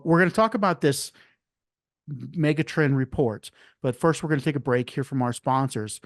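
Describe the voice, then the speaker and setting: A man hosting a podcast, talking in a relaxed, rambling way with restarts and fillers. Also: medium pitch, dry recording, studio quality. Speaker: podcast host. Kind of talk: casual interview chat.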